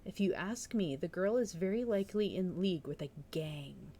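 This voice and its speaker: Woman acting a village gossip spinster, storybook reading, animated intonation, eager, insinuating, chatty. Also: fairly low pitch, moderate pace. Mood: eager, gossipy. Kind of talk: storybook read-aloud.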